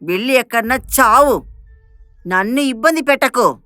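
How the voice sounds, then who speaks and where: Woman acting harsh employer lady, piercing tone, scornful, bossy, dry sound, animated story. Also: on the high side, moderate pace, no echo, soft background music. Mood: angry, scornful. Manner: bossy, berating. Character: harsh, scolding, contemptuous lady of the house.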